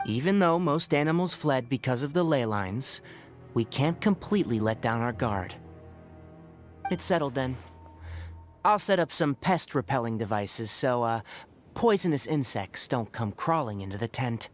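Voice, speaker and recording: A man playing a calm, scholarly young forest ranger in a video game, caring but principled, speaking in a measured, precise way. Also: mid-range voice, natural voice. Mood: reassuring, practical. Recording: faint music behind the voice. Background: soft background game music.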